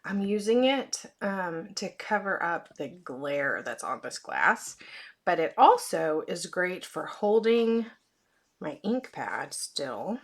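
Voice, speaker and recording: A woman with a hoarse, fading voice, chatting casually with frequent fillers as she demonstrates card-making at her crafting desk. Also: fairly low pitch, sing-song, slow.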